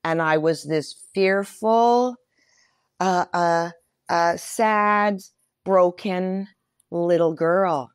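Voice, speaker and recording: A woman in her sixties speaking candidly and reflectively as an interview guest, with measured emphasis. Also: fairly low pitch, clear, resonant tone, no room sound, studio quality.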